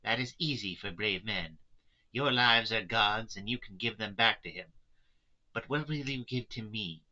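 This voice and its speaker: A man's voice: lowish voice, a little slow, bright, forceful tone, dull audio, exaggerated voice. A man voicing an anguished but resolute wife in a novel, pleading earnestly and solemnly with her friends. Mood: grave, insistent.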